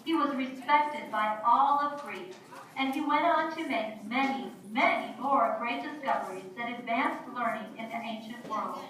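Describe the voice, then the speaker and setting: A woman's voice: a little high, unhurried, fairly bright tone. Echoey woman narrating a children's story. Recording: very echoey room, distant mic, faint noise.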